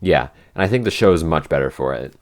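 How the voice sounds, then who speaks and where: A man's voice: extremely low pitch, expressive, quick pace. A man chatting casually as a podcast writer in a behind-the-scenes Q&A, often searching for his words.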